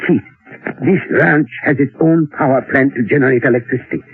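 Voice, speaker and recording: An elderly professor in a vintage radio play. He is grave and resolute, giving deliberate, authoritative instructions. The sound is tinny and narrow, like an aged broadcast. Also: medium pitch, breathy edge. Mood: intent planning. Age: older. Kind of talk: old radio drama acting. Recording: tinny old radio recording.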